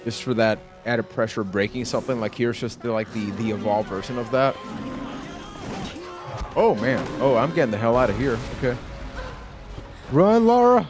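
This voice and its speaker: A man doing live let's play commentary as a gaming streamer, chatting casually with animated, exclamatory reactions. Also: mid-range voice, fairly quick. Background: faint game music and sounds.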